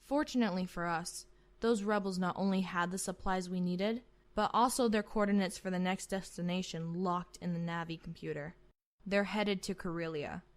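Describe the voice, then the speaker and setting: Woman playing a proud, sharp-tongued starship admiral. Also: on the low side, fairly even intonation, normal speed, lightly acted. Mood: coolly confident. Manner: curt, authoritative, sardonic. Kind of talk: amateur sci-fi roleplay acting.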